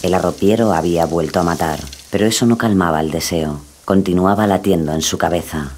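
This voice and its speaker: A man's voice: sits low, a little fast, darkish tone, slight background noise. A man giving scripted, dramatic true-crime narration.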